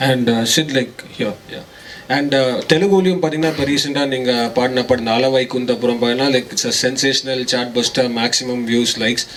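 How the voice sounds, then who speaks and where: A male reporter fires off a question very fast, switching between Tamil and English. His voice is mid-pitched for a man and sounds distant from the microphone. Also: fairly even intonation, fairly bright tone. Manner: rapid, mixes Tamil and English. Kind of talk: press conference question. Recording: voice from far off the mic.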